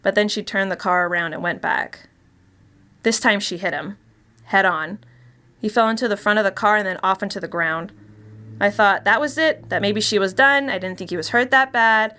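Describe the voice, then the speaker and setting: Actress as a confiding female killer, mid-pitched, telling a mystery tale with dark glee and conversational ease. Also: normal speed, close to an everyday voice. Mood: confiding, uneasy. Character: chatty, darkly gleeful woman confessing to killings. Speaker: actor reading a short story. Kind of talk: dramatic first-person mystery story narration.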